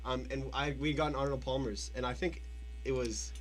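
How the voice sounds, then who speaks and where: A man's voice: medium pitch, fairly even intonation, a little fast. A young man hosting a casual radio show, bantering in a jokey, rambling way. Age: young adult. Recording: faint mains hum.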